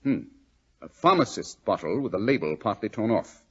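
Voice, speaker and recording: An actor playing a brilliant, sardonic gentleman detective with a British accent, pondering and self-assured, in an old radio drama. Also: lowish voice, very animated, moderate pace, breathy edge, heavily acted. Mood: wry, pondering. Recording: dull lo-fi old radio recording.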